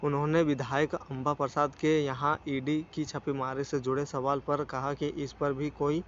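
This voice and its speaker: A man's voice: fairly high pitch, flat delivery, normal speed. A man reading a scripted news report in a formal way, stumbling now and then over words. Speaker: news channel narrator. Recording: dull lo-fi sound with faint noise.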